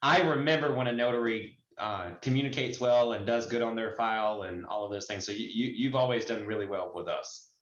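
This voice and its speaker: A man's voice: fairly low pitch, fairly quick. A man in his forties coaching people conversationally on a group video call, chatty and fond of illustrating points with examples. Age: 40s. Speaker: host and notary trainer. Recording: muffled, roomy video-call audio.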